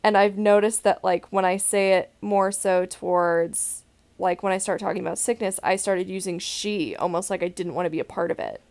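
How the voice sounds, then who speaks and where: A young woman with a deep voice, hosting a podcast in a candid, rambling way and laughing now and then mid-sentence. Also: fairly even intonation, normal speed, a little mellow. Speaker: podcast host. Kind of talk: casual podcast chat.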